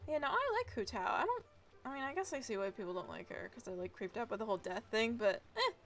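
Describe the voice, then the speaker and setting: Casual, fairly high-pitched female game streamer. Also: animated, fast, a bit bright, faint music behind. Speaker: game livestreamer. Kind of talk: casual gameplay commentary.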